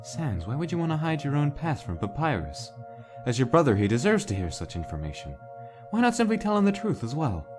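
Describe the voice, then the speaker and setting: An earnest male fire monster voiced by a man speaks formally, with a loyal friend's gentle reproach. His measured phrasing suits a dramatic comic dub, with faint music behind him. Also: fairly high pitch, wildly swooping pitch. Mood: pleading, reproachful.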